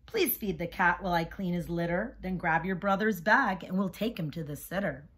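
A woman's voice: lowish voice, normal speed, a bit bright, slightly rough. A woman voicing a firm but encouraging storybook mother who gives orders and coaxes. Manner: giving orders, coaxing. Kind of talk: picture-book character reading.

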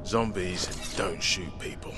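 A man playing a brash, driven survivor in a video game, brisk and dryly wry. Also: low-pitched. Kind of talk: video game cutscene acting. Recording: loud music behind the voice.

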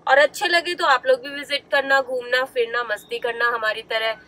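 High-voiced woman vlogging to viewers. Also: steady tone, normal speed, pushed and bright. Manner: friendly, asks viewers to like and subscribe. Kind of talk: casual travel vlog. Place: car, then a busy street market.

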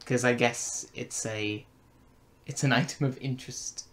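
A man's voice: mid-range voice, steady tone, unhurried, fairly bright tone. A young man in a casual review chat, searching for his words as he talks. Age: young adult. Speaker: podcast reviewer and co-host. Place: bedroom, indoors. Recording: noisy home room recording.

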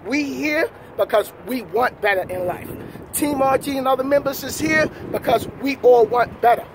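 A man's voice: extremely high pitch, normal speed. A man giving an emphatic, slangy motivational chat as a vlog host, repeating his points. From New York City. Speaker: trucker vlogger, host. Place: outdoors beside a parked semi truck. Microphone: camera at arm's length. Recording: loud outdoor wind and vehicle noise.

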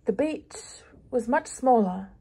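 A woman reading her own spoken-word piece aloud, measured and deliberate, pausing between phrases. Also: on the high side, animated, mellow, slightly rough. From Pacific island.

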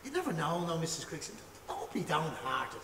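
A man's voice: fairly high pitch, big pitch swings, normal speed, bright, forceful tone, a little hiss or hum, exaggerated voice. A man playing a stammering placating lodger. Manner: stammering, soothing. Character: hesitant, placating male lodger. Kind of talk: stage play acting. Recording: echoey hall, far from mic, faint ambience.

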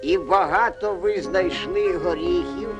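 A man voicing a wise, gruff old grandfather owl in a Soviet-era cartoon, giving expressive, drawn-out advice. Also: high-pitched, muffled recording. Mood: patient. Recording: old cartoon soundtrack with music.

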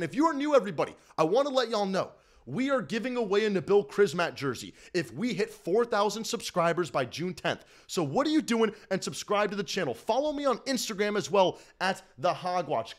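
A young man in his twenties hosting a live-stream postgame recap from a small room at home, hyped and repetitive, often calling out to everybody in the chat. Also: high-pitched. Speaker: sports fan streamer host. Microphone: desk mic in front of the face.

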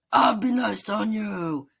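A voice actor playing a manic male robot who loves bombs, shouting gleefully between cackles in a game character reel. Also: medium pitch, creaky, husky, voice pushed up, full character voice.

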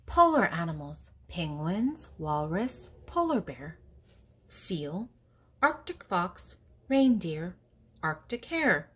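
An older woman with a lowish, husky voice reads to small children. Her delivery is deliberate and teacherly, swinging widely in pitch as she names things and poses simple questions. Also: big pitch swings, fairly bright tone. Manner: deliberate, teacherly, pausing between words. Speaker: host reading a children's picture book aloud. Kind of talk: read-aloud for young children, with questions and answers.

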